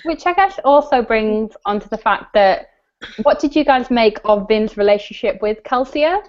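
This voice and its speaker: A woman with a fairly high voice hosts a casual book discussion. She talks conversationally, feeling her way through sentences with lots of "like" and "kind of." The audio is a little dull, like an online call. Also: expressive, moderate pace, a little mellow. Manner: hesitant, conversational, many "like" and "kind of". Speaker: live show host discussing books. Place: video call live stream.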